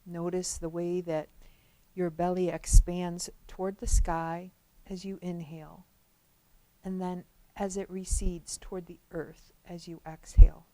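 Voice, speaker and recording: Mellow, low-voiced woman guiding relaxation. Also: flat delivery, dry recording, rough recording. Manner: gentle, unhurried, with long pauses between phrases. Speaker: guided meditation instructor. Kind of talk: guided relaxation, slow soothing instruction.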